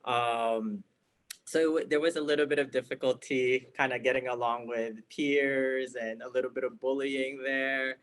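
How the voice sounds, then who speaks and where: A mid-pitched man speaking in a sit-down interview. He talks in a measured way, pausing on the odd filler. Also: fairly even intonation, a bit bright. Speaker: interviewee, family physician. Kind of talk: casual interview storytelling.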